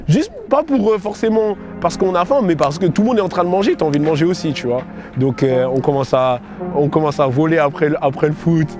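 A man's voice: a little high, animated, brisk, over music. A young man tells his own story casually, in a slangy, conversational manner full of check-in tags. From France (Paris area) and England (London). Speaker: storyteller recounting own life. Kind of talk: casual autobiographical storytelling to camera.

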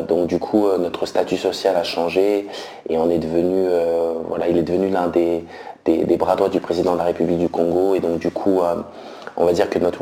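Deep flat-voiced guy in his 30s, halting testimony, echoey room. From France. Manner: slow and hesitant, many fillers. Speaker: believer giving a testimony. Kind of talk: personal faith testimony.

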